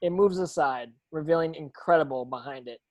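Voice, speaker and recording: A man's voice: on the high side, fairly quick. A man narrating stage directions from a script at a table read, sometimes tripping over words.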